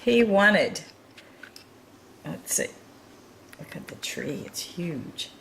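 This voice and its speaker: A woman's voice: fairly low pitch, sing-song, extremely slow, creaky, a bit bright, a little hiss or hum, low-quality recording. A woman reading a picture book aloud to young children, with chatty, encouraging asides and chuckles over the pictures. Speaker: children's storytime reader.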